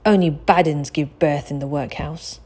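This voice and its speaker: A woman's voice: deep voice, normal speed, a little mellow, breathy edge. Woman playing a sulky, jeering bully boy. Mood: mocking. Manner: taunting, whiny.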